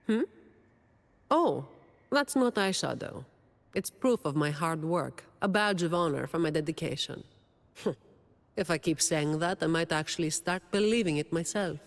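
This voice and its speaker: A woman's voice: sits low, lively intonation, measured pace, in a large hall. A woman voicing a dutiful, weary young woman guardian in a video game, dry and composed with a confident edge. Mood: wry, composed. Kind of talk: video game character voice lines.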